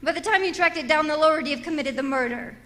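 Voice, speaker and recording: An actress playing a nervous, demanding wealthy invalid wife in a radio thriller, flustered and stammering, with haughty insistence. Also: female voice, sits high, fast talker, fairly bright tone. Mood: indignant, demanding. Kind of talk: old-time radio thriller acting.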